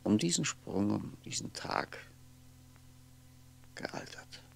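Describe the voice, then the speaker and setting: An actor playing a lonely, world-weary aging man, musing haltingly to himself in a 1960s radio drama monologue, with a gravelly voice. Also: lowish voice, big pitch swings, heavily acted. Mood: brooding, wry. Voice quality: gravelly, often sinking into breathy whisper. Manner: halting, brooding, fragmented musing with pauses. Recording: 1960s radio recording, faint noise.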